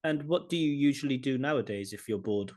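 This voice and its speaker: A man hosting a practice speaking test, asking exam questions in a measured, formal way over a video call. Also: mid-range voice, expressive, darkish tone. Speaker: IELTS examiner and channel host.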